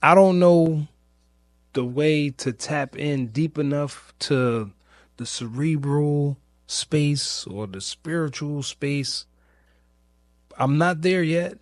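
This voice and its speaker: A man hosting a podcast, speaking in a reflective personal monologue, informal and searching, with long pauses, repeated phrases and questions put to himself. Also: on the high side, dry recording. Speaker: podcast host.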